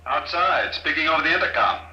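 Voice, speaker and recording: A coolly menacing conspirator in a 1950s radio play, gloats with controlled courtesy. The voice is highish and very sharp, echoing through an intercom on an old recording. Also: male voice, fairly quick, muffled recording. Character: coolly menacing, polite male conspirator villain. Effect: intercom in echoing room. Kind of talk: 1950s radio drama acting.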